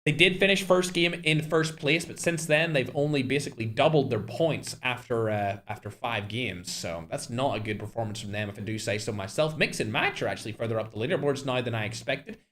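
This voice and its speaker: A man's voice: medium pitch, brisk, hardly any pauses. A young man hosting and casting a mobile game tournament on a live stream, chatting straight to his viewers. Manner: chatty, talks straight to chat, animated bursts. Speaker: tournament host and caster. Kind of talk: live-stream esports commentary.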